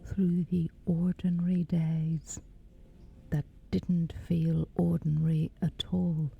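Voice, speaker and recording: A woman guiding a scripted sleep meditation in a breathy, whispery, hushed and soothing voice, leaving long pauses. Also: on the low side, little pitch movement. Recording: slightly dull, soft music behind. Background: music.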